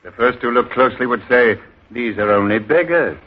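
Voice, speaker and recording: An actor playing a cunning beggar leader who poses as a god, speaking in a solemn, commanding, declamatory old radio drama style. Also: male voice, medium pitch, lively intonation, moderate pace, close to an everyday voice. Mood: scheming, assured. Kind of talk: 1940s radio acting. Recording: old muffled radio broadcast with music.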